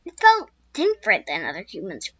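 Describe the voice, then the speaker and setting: A woman voicing a young wild male horse, a skittish loner, telling his own story in first person with a squeaky voice. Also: wildly swooping pitch, brisk, fairly bright tone, breathy edge, no echo, lo-fi sound, heavily acted. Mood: wistful. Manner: first-person storytelling with restarts, turning frantic when scared. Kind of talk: amateur spoken story.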